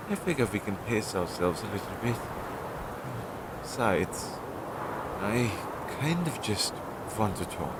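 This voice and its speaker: A man playing an anxious, insecure but tender crow familiar in an audio roleplay, talking to the listener in a hesitant, earnest way. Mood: sheepish. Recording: clearly noisy low-quality recording.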